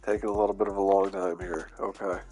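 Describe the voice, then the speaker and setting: Gaming channel host, male, low-ish voice, stuffy and under the weather, relaxed think-aloud commentary with faint music underneath. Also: quick pace, breathy edge. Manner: laid-back, thinking aloud with fillers. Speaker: gaming YouTuber. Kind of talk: casual gameplay commentary.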